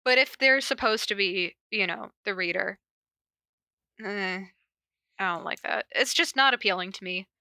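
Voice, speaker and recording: Bright-voiced woman, giggly podcast host. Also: medium pitch, normal speed, gravelly, no echo. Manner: giggly, playful. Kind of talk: casual podcast chat with reading aloud of notes.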